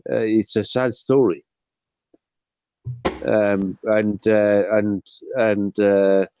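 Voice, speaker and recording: A man with a Scottish accent from the Highlands, talking casually in a group discussion, hesitant with many fillers and restarts. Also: level tone, very slow, mellow, a little hiss or hum.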